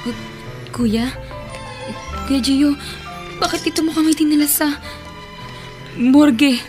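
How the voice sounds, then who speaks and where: An actress playing a remorseful younger sister in a Tagalog radio drama, pleading tearfully. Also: female voice, sits high, over music. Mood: anxious, tearful. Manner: sobbing, drawn-out pleading.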